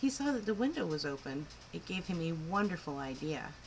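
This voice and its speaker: A woman reading a picture book aloud to children in an expressive, clearly phrased storytelling style. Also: deep voice, a little fast, a little hiss or hum, poor audio. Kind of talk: animated children's story narration.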